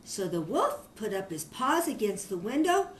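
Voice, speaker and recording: An older woman, a grandmother, reading a children's story aloud in an expressive storytelling manner. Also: mid-range voice, moderate pace, slight background noise. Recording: echoey room.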